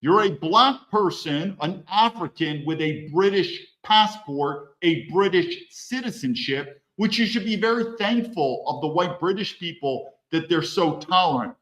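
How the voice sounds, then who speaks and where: A man's voice: high-pitched, lively intonation, a little slow, hardly any pauses, fairly bright tone, roomy sound, rough recording. A man hosting a solo podcast, talking bluntly and emphatically and repeating points for stress. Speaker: podcast host. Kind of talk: opinionated solo monologue.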